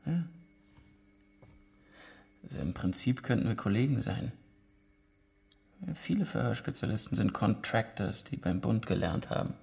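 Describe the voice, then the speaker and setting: An actor playing a wary, cocky former soldier under interrogation in a radio drama, dry, taunting and clipped. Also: male voice, lowish voice, very animated, a little slow, creaky, pauses often, darkish tone, full character voice. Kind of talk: naturalistic radio drama dialogue.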